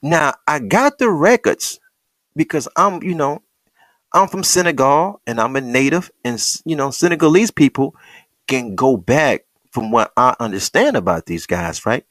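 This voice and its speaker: A man's voice: mid-range voice, lively intonation, normal speed, fairly bright tone, no echo, voice pushed up, close to an everyday voice. A man putting on the voice of a scheming male tour guide who boasts about squeezing money out of visitors. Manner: boastful, conniving sales patter.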